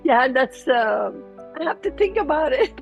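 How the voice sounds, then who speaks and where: Gravelly middle-aged woman, Lebanese accent. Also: high-pitched, big pitch swings, unhurried, faint music behind. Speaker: interview guest. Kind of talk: personal storytelling in an interview.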